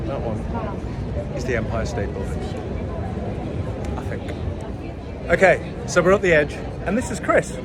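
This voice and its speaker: A man's voice: fairly high pitch, sing-song, a little slow, pauses often, over a noisy crowd. A middle-aged man giving enthusiastic, chatty travel vlog commentary outdoors, with self-correcting asides, recorded on a handheld camera. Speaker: travel vlogger. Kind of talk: walking-tour vlog commentary. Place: outdoors in a busy city street and on rooftop decks. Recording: loud traffic noise outdoors.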